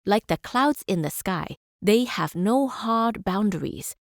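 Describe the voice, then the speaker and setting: Measured scholarly stateswoman, dry sound. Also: medium pitch, animated, gravelly, dry recording. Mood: reflective. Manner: formal, deliberate, philosophical. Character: measured, idealistic female scholar-chancellor and stateswoman. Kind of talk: scripted game character speeches and lectures.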